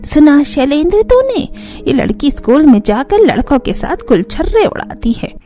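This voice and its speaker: A woman playing a sharp-tongued, scornful old grandmother in a family cartoon, nagging and taunting. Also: high voice, very animated, normal speed, faint music behind. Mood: scornful, accusing. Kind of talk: Hindi cartoon family drama acting.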